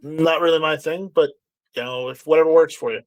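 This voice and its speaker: A man hosting a live stream in casual chat, reading out viewer comments in a halting way and often repeating words. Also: a little high, steady tone.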